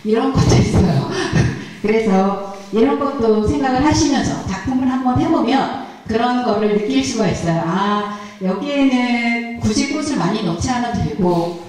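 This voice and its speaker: A woman's voice: on the high side, animated, normal speed, darkish tone, a little hiss or hum. A middle-aged woman explaining her flower arrangement at a church seminar in a chatty, self-deprecating way, speaking into a handheld mic. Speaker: flower arranging instructor presenting her work. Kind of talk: casual seminar talk. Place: large church hall, indoors. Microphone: handheld mic, heard through hall speakers. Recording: echoey hall, voice from far off.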